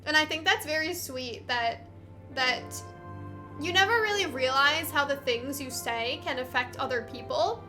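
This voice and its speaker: Young female let's player: very high, casual, gushing commentary, some music behind. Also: normal speed, bright, forceful tone. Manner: gushing, sentimental. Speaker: let's play host.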